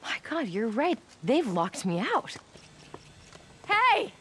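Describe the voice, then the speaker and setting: A woman's voice: high-pitched, huge pitch swings, takes it slowly, pushed and bright, heavily acted. A determined American woman scientist in a post-apocalyptic video game. She explains things earnestly, with urgency and a guarded edge. Faint ambient noise sits behind her voice. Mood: frustrated, urgent. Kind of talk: naturalistic dramatic game cutscene acting.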